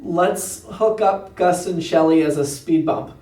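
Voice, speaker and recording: A man's voice: on the high side, measured pace, warm tone. Casual male podcast host in echoey room. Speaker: podcast host and radio show writer. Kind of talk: casual conversational interview. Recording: roomy, slightly distant mic.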